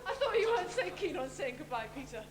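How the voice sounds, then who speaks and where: An arch, teasing pantomime companion acted by a woman, extremely high and lively in pitch. The echoey stage recording is very noisy. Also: quick pace. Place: theatre stage before an audience.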